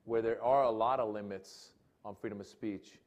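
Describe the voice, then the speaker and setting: A man's voice: lowish voice, steady tone, normal speed, darkish tone. A man with an American accent speaking as a comedian guest on a panel, casual and full of fillers. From Iran, raised in America. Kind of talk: on-stage public discussion.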